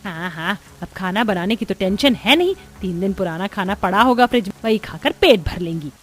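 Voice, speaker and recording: A woman's voice: medium pitch, expressive, fairly quick, close to an everyday voice. A woman playing a scolding, exasperated middle-aged mother-in-law in a cartoon story. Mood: disapproving. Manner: nagging, complaining. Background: background music.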